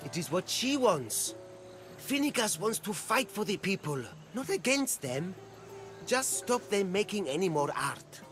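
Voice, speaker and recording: A man's voice: sits high, very animated, unhurried, a bit bright, faint music behind, exaggerated voice. A middle-aged man playing an earnest, cautious ancient Greek street vendor in a video game, speaking in a cautioning manner. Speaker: non-player game character. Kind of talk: scripted game dialogue.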